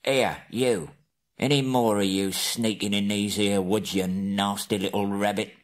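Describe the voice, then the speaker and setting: Man doing a gruff, quarrelsome troll. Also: on the low side, fairly even intonation, measured pace, lightly acted. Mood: gruff, menacing. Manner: coarse rustic speech.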